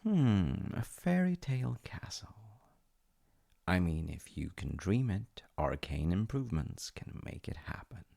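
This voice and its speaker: A man playing a playful, tender wizard fiancé in an intimate ASMR-style audio roleplay, speaking to the listener in a gentle, coaxing way. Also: low voice, very animated, a little slow, vocal fry, full character voice. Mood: playful, enthusiastic. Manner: gentle, coaxing, often dropping into whispers. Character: playful, tender male wizard fiancé showing off magical home.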